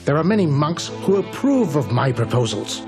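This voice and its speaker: A man playing a defiant, scheming monk in an animated religious drama, formal and insistent. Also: fairly high pitch, normal speed, music in the background. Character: defiant, scheming male monk challenging his master.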